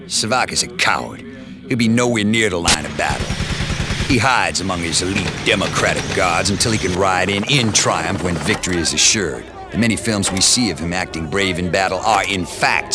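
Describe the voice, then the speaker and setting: Man voicing a gruff military CO, clipped orders, low voice, loud music under. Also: natural voice. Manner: clipped, commanding military briefing. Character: gruff male military commanding officer. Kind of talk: scripted game cutscene briefing.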